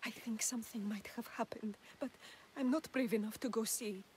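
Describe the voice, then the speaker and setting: A woman's voice: a little high, steady tone, normal speed, a little mellow, lightly acted. A woman playing an anxious, fawning village woman. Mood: worried, hesitant. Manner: effusive, deferential, anxious. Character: timid, fawning village woman, worried and devoutly grateful. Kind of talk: video game cutscene acting. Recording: clear ambient noise behind.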